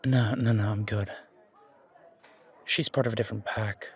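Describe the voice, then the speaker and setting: A man's voice: fairly low pitch, steady tone, moderate pace, husky, voice pushed down. A man playing a down-to-earth, blunt human restaurant owner in an audio roleplay, plain-spoken and understated. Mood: hesitant. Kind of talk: fantasy audio roleplay acting.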